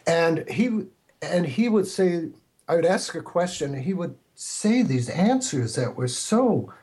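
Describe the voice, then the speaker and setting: An older man telling anecdotes casually as an interview guest, with a halting, stammering start to his phrases. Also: a little high, animated, a little mellow, breathy edge. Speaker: guest, radio producer.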